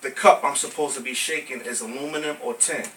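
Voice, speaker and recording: An American man from Brooklyn performing spoken word poetry live, with emphatic, deliberate phrasing. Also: mid-range voice, fairly even intonation, pushed and bright. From Brooklyn, New York, United States. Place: live poetry venue with small audience. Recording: noisy, distant amateur recording of live venue.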